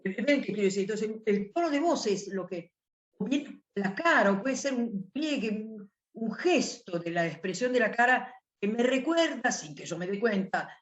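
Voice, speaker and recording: A woman with an Italian accent giving a thoughtful academic lecture at a conference, often rephrasing as she explains. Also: medium pitch. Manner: thoughtful, restarts phrases while explaining. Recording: echoey room.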